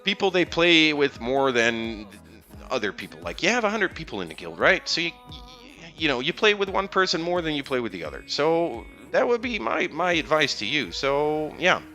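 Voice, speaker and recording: A man's voice: on the high side, sing-song, normal speed, pushed and bright, faint music behind. A man speaking English, giving casual, rambling game advice on a live stream with many fillers and restarts. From Netherlands. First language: Dutch.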